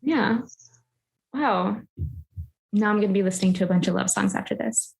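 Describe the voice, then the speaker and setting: A woman's voice: mid-range voice, moderate pace, gravelly, poor audio. A woman talking casually on a book club podcast, enthusiastic and playful.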